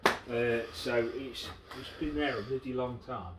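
A man's voice: mid-range voice, a little slow, reverberant, recorded from a distance, heavy background noise. A man casually explaining, heard in a workshop. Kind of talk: casual chat.

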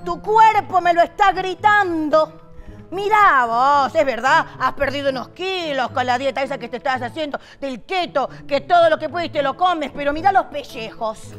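A woman's voice: moderate pace, fairly bright tone, soft background music. An actress playing a bossy older wife who nags and scolds her husband in a TV comedy sketch. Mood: scolding. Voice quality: high, shrill and scolding, with a voseo lilt. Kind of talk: sitcom sketch acting. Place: TV studio set, indoors. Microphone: lapel mic.

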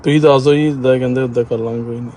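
A man's voice: mid-range voice, steady tone, racing, warm tone. A young man from Punjab, speaking in an amateur recording. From Punjab, India. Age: young adult. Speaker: complainant. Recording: roomy echo, noisy amateur recording.

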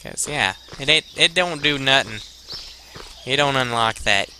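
A young man giving casual, halting gameplay commentary as a let's play host, rambling and muttering to himself into a headset. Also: mid-range voice, expressive, bright, forceful tone, slight background noise. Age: young adult.

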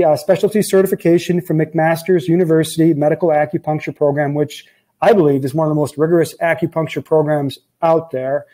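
A man's voice: a little high, warm tone, poor audio. A man in his forties hosting a podcast as a chiropractor, chatting upbeat and jokey over a video call with an earbud mic. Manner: upbeat, jokey, reads intro lists. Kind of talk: casual interview chat. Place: office with framed diplomas, indoors. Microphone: earbud headset on a video call.

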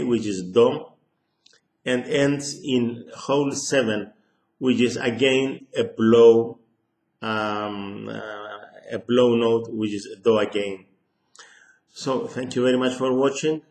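An older man with a Greek accent explains things slowly, pausing often and restarting his phrases. His voice sits in an echoey small room, picked up by a close webcam. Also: medium pitch. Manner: slow, hesitant, many ums and restarts. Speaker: harmonica teacher. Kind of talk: casual lesson to camera. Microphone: webcam at close range.

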